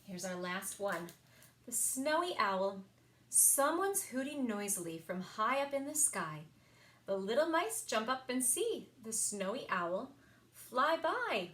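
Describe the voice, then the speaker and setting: A woman reading picture books aloud for a children's story time, expressive and sing-song, with emphasized words. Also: fairly high pitch, slow. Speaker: library story time reader.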